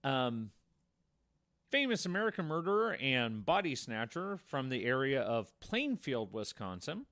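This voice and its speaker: A male podcast host with a mid-range voice that moves up and down in lively swings. He tells grim true stories conversationally, dropping in wry, dark asides as he goes. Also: mid-range voice, unhurried. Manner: wry, darkly joking asides, says "if you will". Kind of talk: conversational true-crime storytelling.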